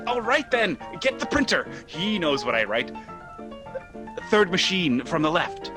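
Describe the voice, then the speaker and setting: Actor playing a frantic, flustered man, wildly swinging pitch. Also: big pitch swings, a little slow, over music. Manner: stammering, exasperated. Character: frantic, flustered theater critic nephew. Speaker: radio play actor. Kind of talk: theatrical radio comedy acting.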